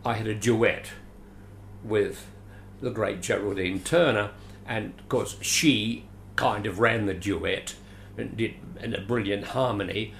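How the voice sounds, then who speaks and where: Brief: older Australian actor, gravelly, theatrical storyteller, roomy home recording. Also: male voice, fairly low pitch, slow pace. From Adelaide, Australia. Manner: animated, theatrical, chuckling. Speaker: guest, veteran actor. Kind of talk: storytelling interview. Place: his home. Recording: roomy echo, faint hiss.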